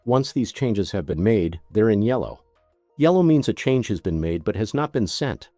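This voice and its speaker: A man's voice: on the low side, lively intonation, moderate pace, warm tone, dry recording, soft background music. A man narrating a scripted product tutorial as a demonstrator, giving methodical step-by-step instructions.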